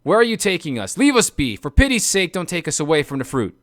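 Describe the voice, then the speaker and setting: A man's voice: a little high, very animated, fast talker, fairly bright tone, no echo, studio quality, pitched above the performer's own voice. A man voicing frantic men who shriek and plead not to be taken away.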